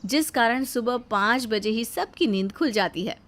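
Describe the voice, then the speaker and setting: A woman's voice: a little high, lively intonation, normal speed, fairly bright tone. A woman narrating a scripted moral story as its storyteller.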